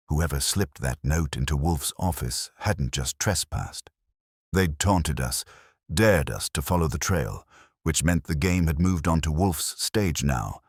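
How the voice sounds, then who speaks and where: A man's voice: very deep voice, dry recording, clean studio sound. A man narrating a first-person hardboiled detective audiobook in a dramatic, wry storytelling manner.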